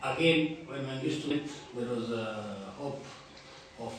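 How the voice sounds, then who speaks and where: A man's voice: mid-range voice, a little hiss or hum. Older man speaking hesitantly in echoey hall. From Ethiopia. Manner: hesitant, many fillers, halting phrases. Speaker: poet. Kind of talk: festival panel answer. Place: festival hall. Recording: echoey hall, far from mic.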